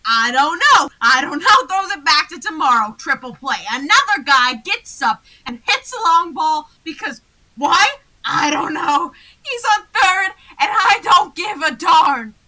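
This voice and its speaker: A woman playing a flustered, exasperated comic man in an old-time radio comedy double act, with frantic, excitable delivery. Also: high-pitched, exaggerated voice, poor audio. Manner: frantic, excitable, fast back-and-forth delivery.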